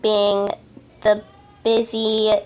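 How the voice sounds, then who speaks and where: A woman reading a story aloud as an amateur, haltingly, often stumbling over words and correcting herself. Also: mid-range voice, monotone, a little mellow. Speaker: amateur fan fiction reader.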